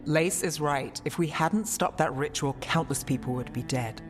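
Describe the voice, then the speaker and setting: A woman's voice: low-pitched, moderate pace, over music, lightly acted. An actress playing a pragmatic, wry female mage investigator in a fantasy video game, with a dry, guarded delivery. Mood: skeptical. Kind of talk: cinematic video game dialogue.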